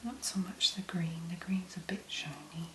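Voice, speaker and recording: A woman giving a soft-spoken ASMR make-up tutorial, mostly in a breathy whisper, murmuring unhurriedly in short phrases. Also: fairly low pitch, fairly even intonation, moderate pace, roomy sound, a little hiss or hum, low-quality recording. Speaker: ASMR host.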